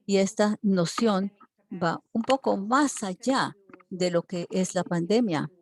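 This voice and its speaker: A woman working as a simultaneous interpreter for an online seminar. She keeps a steady delivery, broken by frequent hesitation fillers. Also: medium pitch, slow pace. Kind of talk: live interpretation for a webinar.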